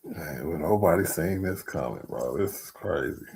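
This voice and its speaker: A man's voice: sits low, animated, normal speed, vocal fry, darkish tone, slightly rough. A man hosting a live sports stream, laid-back and full of slang, casually chatting about basketball. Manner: laid-back slang, calls people dog and bro.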